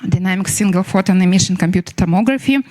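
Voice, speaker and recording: A young researcher at a medical congress, a woman working carefully and haltingly through her prepared talk. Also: lowish voice, warm tone. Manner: halting, self-correcting delivery. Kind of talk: prepared scientific presentation.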